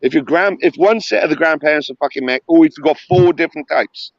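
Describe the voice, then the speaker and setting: A man's voice: fairly high pitch, quick pace, no echo. Insistent young man, dull dry audio. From Wales. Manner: insistent, talks over the other man, repeats himself. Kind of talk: heated casual argument over voice chat.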